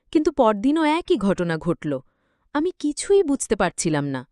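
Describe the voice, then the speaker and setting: A woman's voice: high voice, darkish tone, no echo, studio quality. A woman reading a scripted, emotional story as its narrator.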